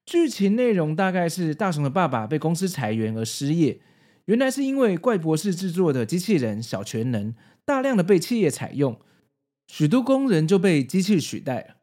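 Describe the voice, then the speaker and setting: Man, Taiwanese Mandarin accent, slightly high-pitched, very varied intonation, podcast host, narration with casual commentary. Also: sing-song, moderate pace, dark tone.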